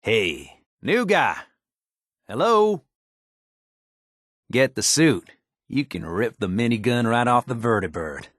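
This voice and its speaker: An actor playing a folksy, wisecracking American tinkerer in a video game, talking in casual slang. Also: male voice, mid-range voice, big pitch swings, slow, pauses often, fairly bright tone, no room sound, studio quality, exaggerated voice. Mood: eager, persuasive. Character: folksy, wisecracking male tinkerer and scrappy settler ally.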